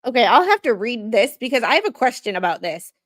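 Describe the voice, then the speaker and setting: A woman hosting a livestream as a non-lawyer commentator, reading legal filings aloud haltingly and chatting about them in an informal, self-deprecating way. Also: a little high, a bit bright.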